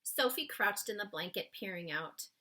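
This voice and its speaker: A woman reading a children's story aloud in an expressive, suspenseful way, stretching key words for emphasis and chatting to young listeners. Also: medium pitch, normal speed. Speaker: children's book read-aloud reader.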